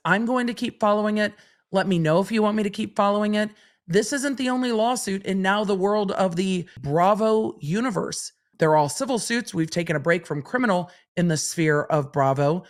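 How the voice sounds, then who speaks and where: A middle-aged woman hosting a legal podcast, her voice a little raspy from long days of streaming, walking through the law point by point and answering her own questions. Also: on the low side. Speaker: legal commentator and podcast host. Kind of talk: solo legal podcast commentary.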